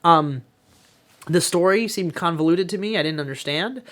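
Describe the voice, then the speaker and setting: A man's voice: on the high side, normal speed, a bit bright. A man who hosts a podcast, reviewing films casually in a blunt, emphatic, sweary way. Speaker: podcast host. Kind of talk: casual film review chat.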